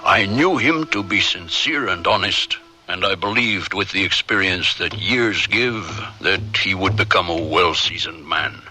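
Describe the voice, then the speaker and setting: Very deep-voiced dignified Native elder. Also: male voice, lively intonation, bright, forceful tone, soft background music, full character voice. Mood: measured, fond. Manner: measured, formal, deliberate. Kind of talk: radio drama acting and narration.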